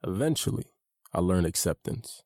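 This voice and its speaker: Low, gravelly man reading his memoir. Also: animated, a little mellow, dry recording, clean studio sound. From United States. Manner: measured, earnest, personal. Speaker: author narrating his own audiobook. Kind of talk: reflective memoir narration.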